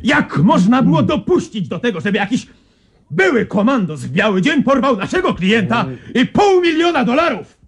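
An actor playing a furious, sarcastic male boss with a very raspy voice in a radio drama. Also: extremely high pitch, big pitch swings, moderate pace, full character voice. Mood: angry. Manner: scolding, sarcastic.

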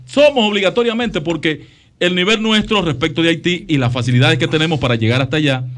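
A middle-aged Dominican man with a high voice makes his case on a talk show panel. He is insistent and repeats phrases to drive each point home, speaking into a studio mic. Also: fast, fairly bright tone. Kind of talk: panel debate. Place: radio studio. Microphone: studio microphone.

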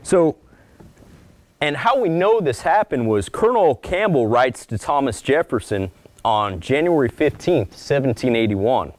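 A man in his forties giving a casual lecture as an archivist, talking with slides in a lecture room and acting out little asides now and then. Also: mid-range voice. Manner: conversational, acts out little quoted asides; calls his own voice monotone. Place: mid-size lecture room, indoors.

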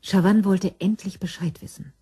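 A woman's voice: medium pitch, steady tone, normal speed, mellow. A woman giving scripted narration as the narrator of a crime radio drama.

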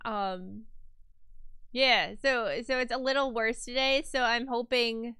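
A woman's voice: fairly high pitch, steady tone, slow, pushed and bright, clear, resonant tone, dry recording. A woman livestreamer chatting casually with her viewers, a little stuffy from a cold, rambling with fillers and restarts.

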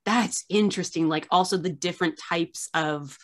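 A woman's voice: on the low side, moderate pace. A woman in her thirties hosting a video-call interview, gushing with enthusiasm and filling gaps with ums and likes. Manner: enthusiastic, gushing fan, many fillers. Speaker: host, archivist. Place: small room at home, video call.

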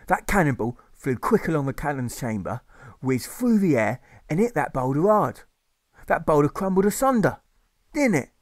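A man's voice: medium pitch, dry recording. A man voicing a boastful army drill sergeant telling a hearty war tale with tag questions.

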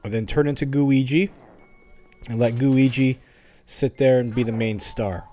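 A man's voice: medium pitch, steady tone, unhurried, dark tone, soft background music. A man giving a casual video game walkthrough in an informal, slightly hesitant, conversational way. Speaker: video game guide host. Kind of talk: casual gameplay walkthrough commentary.

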